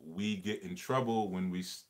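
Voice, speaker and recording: A man with a fairly low voice leads a relaxed livestream conversation. He talks in an earnest, reflective way, circling back over words and phrases as he works through an idea. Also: normal speed. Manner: earnest, reflective, repeats phrases while explaining. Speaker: livestream host. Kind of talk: casual livestream discussion.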